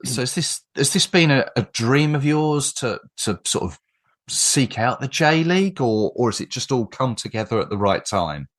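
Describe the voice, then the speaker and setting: Man, English, podcast host, casual chat, restarts often, animated pitch. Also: normal speed. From England. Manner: chatty, rambling with restarts.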